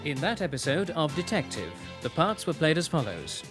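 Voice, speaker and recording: A man's voice: on the high side, big pitch swings, moderate pace, over loud music. A man reading scripted cast credits as the announcer of a radio drama.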